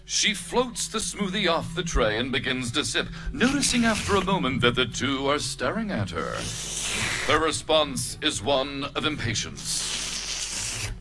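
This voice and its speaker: A man's voice: medium pitch, very animated, a little slow, rough recording. A man reading script stage directions aloud at a live fan reading, matter-of-fact. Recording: show music playing underneath.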